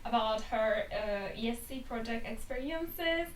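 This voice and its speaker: Higher-voiced young woman hosting in echoey room. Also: lively intonation, measured pace. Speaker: podcast co-host. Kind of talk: casual hosting with questions. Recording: echoey room, distant mic, faint noise.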